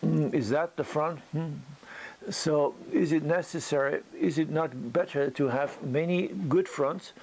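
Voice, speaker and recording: A man who speaks as a gives an unscripted critique as a teacher, thinking aloud haltingly with a whimsical storytelling streak. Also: fairly high pitch, a little mellow, slightly rough. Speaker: bonsai teacher giving tree critiques. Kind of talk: unscripted spoken critique and demonstration. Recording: noisy ambient location recording.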